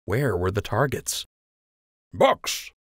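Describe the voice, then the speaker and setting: A man's voice: low-pitched, steady tone, unhurried, no room sound, studio quality. A man narrating scripted fiction as an audiobook reader.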